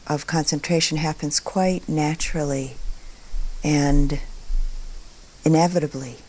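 A woman teaching meditation, speaking calmly and deliberately in short phrases with long pauses between them. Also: low voice, rough recording. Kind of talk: guided meditation talk.

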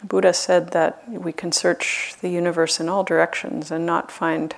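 A woman meditation teacher leading a guided meditation, speaking gently and unhurriedly with long pauses. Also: lowish voice, flat delivery, gravelly. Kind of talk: guided loving-kindness meditation.

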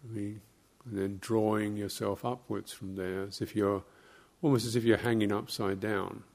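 A man giving guided meditation instruction as a teacher, speaking in short, measured phrases with long, halting pauses. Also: lowish voice, steady tone, gravelly, a little mellow.

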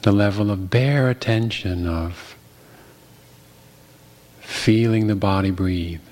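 A man guiding a meditation as a teacher, speaking gently and unhurriedly with long pauses. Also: lowish voice. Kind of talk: guided meditation.

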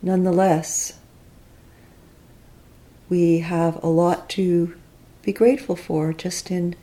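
Very slow, mellow woman guiding meditation. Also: on the low side, level tone. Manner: unhurried, gentle, reflective phrasing. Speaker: meditation teacher leading a guided body scan. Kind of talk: guided meditation, slow spoken instructions with long pauses.

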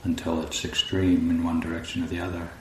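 A man leading a guided meditation as a teacher, speaking calmly and unhurriedly with long pauses between phrases. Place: indoor room at a group retreat. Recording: roomy echo, faint low rumbling noise.

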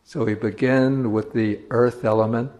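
A man teaching meditation, giving guided instructions in measured phrases with pauses between them. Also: medium pitch, fairly even intonation, a little mellow.

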